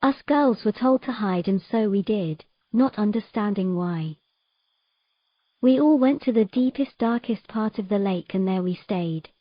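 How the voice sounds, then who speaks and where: A woman's voice: mid-range voice, a little slow, no echo, highs cut off, lightly acted. Dry, close-sounding sorrowful young mermaid played by a woman. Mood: hushed, fearful. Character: sorrowful young mermaid recalling her lost family. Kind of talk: audio drama storytelling.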